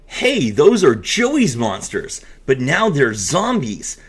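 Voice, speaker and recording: A man hosting a dramatic reading mixed with excited reaction commentary, expressive and given to amazed exclamations. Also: medium pitch, slow pace, breathy edge. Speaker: host.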